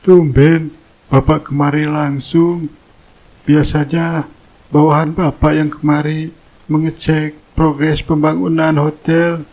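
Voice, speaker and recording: Cartoon drama foreman, male actor: bullying, status-minded, slightly rough-edged voice. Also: fairly high pitch, little pitch movement, takes it slowly, darkish tone, low-quality recording. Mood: deferential. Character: gruff, bullying construction foreman, fawning to his boss.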